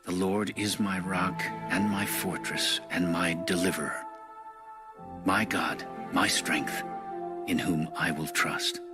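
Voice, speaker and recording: An actor playing a devout ancient psalmist king in prayer, solemn and measured, in a dramatized audio Bible. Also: low-pitched, fairly even intonation. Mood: reverent. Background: background music.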